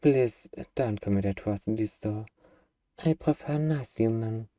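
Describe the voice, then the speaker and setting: A man's voice: on the low side, measured pace, mellow, dry recording, studio quality, distant mic, lightly acted. A man playing an eager, bargaining demon who craves a cuddly pet, in a playfully earnest, wheedling ASMR roleplay monologue. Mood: haggling.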